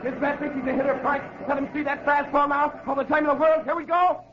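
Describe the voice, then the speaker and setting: An actor playing a spirited young college catcher, hearty and encouraging, in 1940s radio adventure style. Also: male voice, very high voice, level tone, fast talker. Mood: excited, urging. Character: spirited young male college catcher cheering his team. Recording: muffled, very noisy old radio recording. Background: loud crowd.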